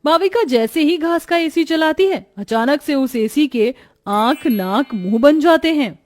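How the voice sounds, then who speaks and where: A woman's voice: high-pitched, animated, measured pace, darkish tone. A woman narrating a scripted children's cartoon story.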